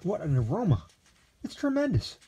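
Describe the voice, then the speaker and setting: A naive, excitable young man gushes in breathless, climbing exclamations, put on by a male storyteller. The voice sits high and swoops up at every surprise. A husky, gravelly grain runs underneath. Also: fairly quick, heavily acted. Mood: rapturous.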